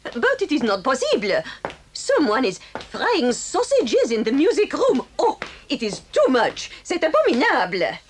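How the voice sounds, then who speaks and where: A woman playing an excitable, indignant schoolmistress with a French accent and theatrical, exclamatory delivery in an audio drama. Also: sits high, measured pace, a little hiss or hum, heavily acted. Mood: shocked, bewildered.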